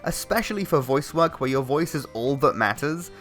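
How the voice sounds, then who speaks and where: A British man delivers a scripted commentary in a critical, measured way. His voice is bright and a little high. Soft music plays under him. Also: animated. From Britain. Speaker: video essayist.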